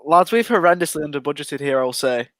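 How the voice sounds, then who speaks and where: A man's voice: on the high side, lively intonation, crowd noise. A man co-hosting a casual fantasy football chat, upbeat and teasing with the others. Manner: upbeat, teasing, quick-talking. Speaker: co-host.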